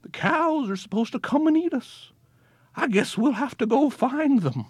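Man playing talking grass: high, fretful, sing-song, husky edge. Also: expressive, normal speed, no echo, studio quality, full character voice.

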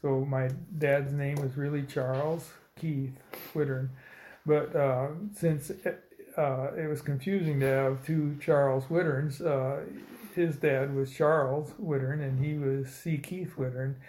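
A man in his seventies from Indiana, telling his life story slowly in an oral history interview, with many pauses and fillers. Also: medium pitch, flat delivery, darkish tone, roomy sound, a little hiss or hum, low-quality recording. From Indiana, United States. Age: 70s. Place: old building, a former dormitory.

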